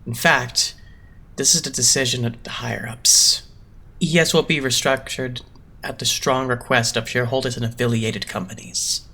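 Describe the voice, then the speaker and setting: A man's voice: medium pitch, steady tone, moderate pace, pushed and bright. A man voicing a cool, haughty young idol-agency boss in an anime game fandub, lecturing in formal, condescending speech. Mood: businesslike.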